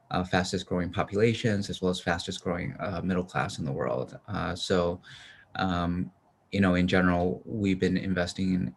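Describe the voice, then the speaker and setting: A man, a venture investor, speaking haltingly with many hesitations over a video call. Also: deep voice.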